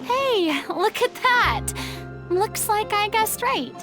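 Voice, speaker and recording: A theatrical, showy former goddess. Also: female voice, very high-pitched, expressive, takes it slowly, heavily acted. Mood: smug. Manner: grand, theatrical delivery. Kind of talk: video game cutscene voice acting. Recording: game dialogue over music.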